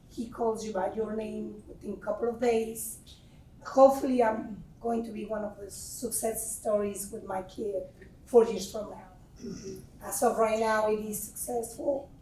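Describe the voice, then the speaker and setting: A woman's voice: fairly high pitch, warm tone. Mexican-accented woman, echoey and distant. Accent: Mexican Spanish. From Mexico City. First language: Spanish. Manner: chatty, grateful, laughing often. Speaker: parent speaking from the audience. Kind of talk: spontaneous audience remarks. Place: large meeting room. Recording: echoey room, voice from far off the mic.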